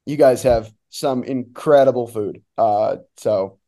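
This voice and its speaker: Mellow-voiced man chatting about sports. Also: medium pitch, slow. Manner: conversational, opinionated, informal. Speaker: guest, soccer play-by-play announcer. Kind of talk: casual sports analysis chat.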